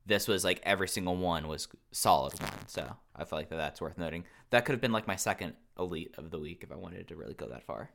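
A man with a very low yet bright, punchy voice talks as a panelist. His delivery is casual and rambling, with thoughts strung loosely together. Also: expressive, quick pace, gravelly, hardly any pauses. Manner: rambling, says "like" constantly. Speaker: panelist on a wrestling review show. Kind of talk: casual chat.

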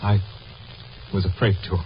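An actor playing a small, haunted stage mentalist in a 1950s radio drama, halting, anguished and fearful. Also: male voice, low-pitched, monotone. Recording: muffled, slightly noisy old radio recording.

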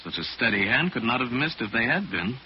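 Male actor as shrewd radio-play hero: courteous, self-possessed, medium pitch with animated delivery, muffled 1940s sound. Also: brisk, lightly acted. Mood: measured, shrewd. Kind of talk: 1940s radio drama acting. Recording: noisy, muffled old-time radio recording.

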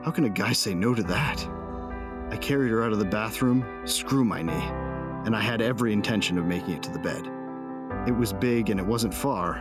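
Man acting a deep-voiced, lovestruck bounty hunter. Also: a little fast, close to an everyday voice. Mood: passionate. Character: lovestruck, passionate male space-opera bounty hunter narrating his romance. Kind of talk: first-person audio drama narration. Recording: loud music behind the voice. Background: music.

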